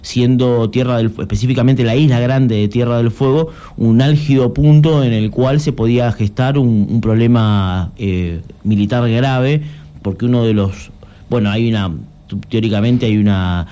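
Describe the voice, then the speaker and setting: A man's voice: medium pitch, normal speed, warm tone. A young adult man with an Argentine Spanish accent, a journalist guest explaining things earnestly with frequent restarts. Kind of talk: conversational interview.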